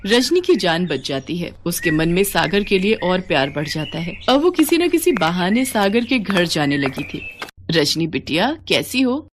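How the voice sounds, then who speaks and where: A woman's voice: fairly low pitch, big pitch swings, moderate pace, hardly any pauses, a little hiss or hum. A woman narrating a scripted moral story as a storyteller.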